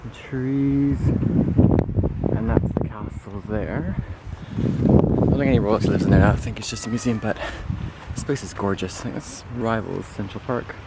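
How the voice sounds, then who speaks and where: A man's voice: fairly low pitch, a little slow, heavy background noise. A young man from Canada talking casually to a handheld camera, rambling and correcting himself as he thinks aloud, partly outdoors in a park and partly indoors. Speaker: travel vlogger. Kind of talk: casual travel vlog.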